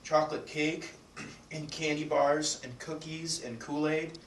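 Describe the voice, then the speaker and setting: A man's voice: fairly high pitch, flat delivery, a little slow, a bit bright. A man playing a boastful schoolboy in a staged readers theatre piece. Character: boastful schoolboy bragging about free desserts. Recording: echoey room, distant mic, faint noise.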